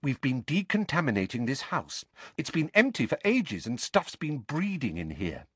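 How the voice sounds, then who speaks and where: A man's voice: medium pitch, big pitch swings, normal speed, a bit bright, husky, no room sound, voice pushed up. A man voicing an earnest, anxious teenage witch girl in a storybook, hasty and apologetic. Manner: hasty, pleading, nervous.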